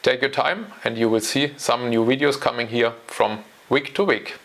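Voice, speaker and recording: A man's voice: medium pitch, normal speed, a bit bright. A German man in his forties with a German accent, hosting his own channel and talking to camera alone in a casual, hesitant way with many fillers. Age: 40s. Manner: hesitant, many ums and uhs. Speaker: channel host. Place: home.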